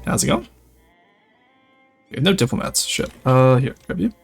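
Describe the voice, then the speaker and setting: A man's voice: mid-range voice, lively intonation, normal speed, vocal fry, slightly rough, faint music behind. A young French Canadian man with a light Québécois accent, chatting casually with his viewers while playing a strategy game. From Quebec, Canada. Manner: chatty, reads and answers chat while playing. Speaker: streamer. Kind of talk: casual gameplay livestream chat.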